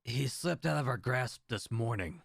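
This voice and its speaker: A man's voice: mid-range voice, lively intonation, moderate pace, creaky, slightly rough, no echo, clean studio sound. An actor playing a deferential, nervous lieutenant in an audio drama, hesitant and subservient as he speaks. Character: deferential, nervous military lieutenant reporting to royalty.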